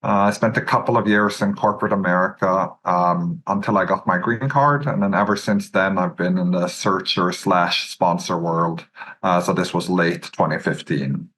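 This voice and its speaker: A Norwegian man in his thirties with a Norwegian accent, a podcast guest telling his career story in a measured way, with many "uh"s and "um"s. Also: sits low, steady tone, a bit bright, slight background noise. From Norway. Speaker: podcast guest, search fund entrepreneur. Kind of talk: relaxed interview answers.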